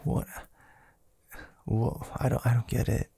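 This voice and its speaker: A man playing an affectionate, teasing young boyfriend in an intimate ASMR roleplay, murmuring softly and hesitantly with a breathy, gravelly voice. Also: a little slow, dry recording, lightly acted. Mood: flustered, playful. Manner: soft, hesitant, drawling, teasing.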